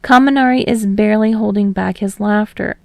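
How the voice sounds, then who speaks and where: Creaky-voiced woman reading fiction. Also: mid-range voice, brisk, no room sound, studio quality. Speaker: podfic narrator. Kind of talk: expressive fiction narration with casual sign-off.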